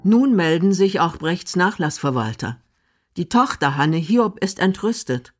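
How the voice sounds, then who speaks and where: A woman narrating a scripted radio documentary in a measured, clearly articulated way. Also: fairly low pitch, lively intonation. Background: music.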